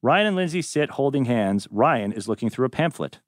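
A man narrates screenplay stage directions at a table read. His mid-pitched voice is very expressive, with a dry, close sound. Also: normal speed, no echo.

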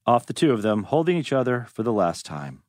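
Animated male script narrator. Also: medium pitch, a little fast, no echo, studio quality. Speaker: stage-direction narrator. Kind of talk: scripted narration at a table read.

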